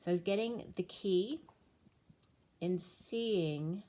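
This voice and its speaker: Female tarot reader, low voice going hoarse, rambling casual delivery. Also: slow, dark tone. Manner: rambling, stream-of-thought explanations. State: losing her voice. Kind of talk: casual spiritual reading talk.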